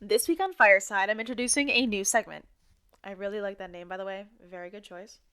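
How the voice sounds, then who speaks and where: A woman's voice: mid-range voice, quick pace, bright, forceful tone, smooth, natural voice. An actress playing an outspoken, indignant woman who co-hosts a town radio show in an audio drama, speaking with exasperated, sarcastic bite. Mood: announcing, pointed. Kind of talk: audio drama acting as conversational radio banter.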